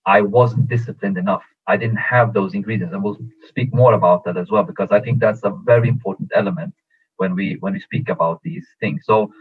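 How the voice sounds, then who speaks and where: A man who grew up in Sweden, a football agent answering interview questions in a measured way with many fillers and restarts. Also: fairly low pitch, steady tone, slightly rough. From Iran, raised in Sweden. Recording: tinny phone-like audio.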